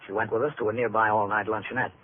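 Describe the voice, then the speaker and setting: An actor playing a steady, authoritative police captain with measured, matter-of-fact speech in an old-time radio drama. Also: male voice, lowish voice, close to an everyday voice. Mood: flat narration. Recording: tinny, noisy vintage radio recording.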